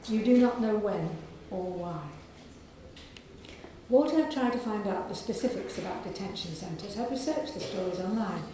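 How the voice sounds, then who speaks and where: A woman with a soft, croaky voice, an author reading aloud from her novel to an audience in a library. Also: medium pitch, lively intonation, heavy reverb, slight background noise, low-quality recording. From England. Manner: measured reading with clearing of the throat.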